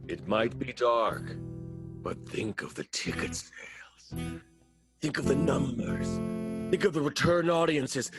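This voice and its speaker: A man plays a ruthless theater boss in an improvised radio play, laying out his schemes calmly and slowly. His voice sits in a plain middle pitch. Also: over music. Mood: scheming.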